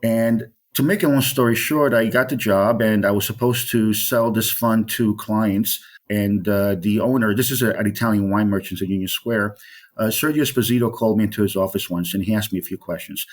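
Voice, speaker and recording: A man's voice: on the low side, brisk. A middle-aged man from Colombia, a wine specialist being interviewed, talking in a rambling way with many fillers and restarts. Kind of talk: interview conversation.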